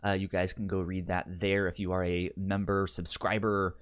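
A man's voice: sits low, level tone, normal speed, smooth. A man co-hosting a podcast in casual chat, rambling, with many stammered restarts and the hiccups. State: has the hiccups. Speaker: podcast co-host.

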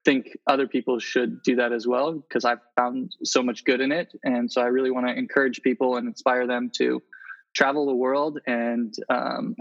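A man speaking earnestly in a casual, conversational interview as a guest. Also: medium pitch. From Indonesia and the Philippines. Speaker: interview guest, travel photographer. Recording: slightly dull, lo-fi audio.

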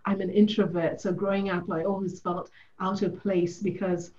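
A woman from Ethiopia, a coach, telling her story in a reflective, soft-spoken way during an interview. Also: mid-range voice, flat delivery, normal speed, dull audio. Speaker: guest, coach. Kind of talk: conversational interview.

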